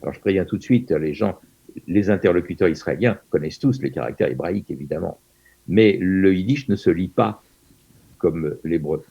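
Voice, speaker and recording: Low gravelly older man, hesitant. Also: expressive, moderate pace, warm tone, highs cut off. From France. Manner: hesitant, many fillers and restarts. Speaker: Yiddish teacher and guest. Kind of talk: interview over video call. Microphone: video call.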